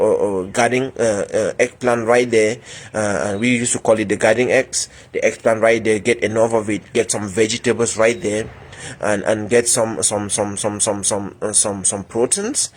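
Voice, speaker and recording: A man's voice: on the low side, steady tone, normal speed, breathy edge. A middle-aged man, a medical instructor, giving a health talk with many fillers and repeated words. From Cameroon.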